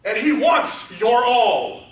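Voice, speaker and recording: High, bright preacher man, booming hall. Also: expressive, slow pace. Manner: builds from quiet teaching to shouted exhortation. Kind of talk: sermon. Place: church sanctuary. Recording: echoey church hall, voice from far off.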